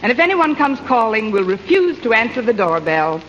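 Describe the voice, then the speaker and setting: An actress playing a wisecracking English teacher with dry, sardonic timing in an old radio comedy. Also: female voice, fairly high pitch, sing-song, fairly quick. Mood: dry, wry. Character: wisecracking, man-hungry high school English teacher. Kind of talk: 1940s-50s radio comedy acting. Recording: muffled old radio, noisy live audience.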